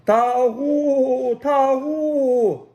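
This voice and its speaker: A man voicing a storybook shepherd who calls out to his flock in drawn-out, sing-song cries and howls. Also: very high voice, fairly even intonation, dark tone, pitched above the performer's own voice, full character voice. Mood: calling out.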